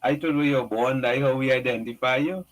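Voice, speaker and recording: A man's voice: mid-range voice, a little fast, crowd noise. A man hosting a call-in radio show, laid-back, answering callers with short replies and questions. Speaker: radio host.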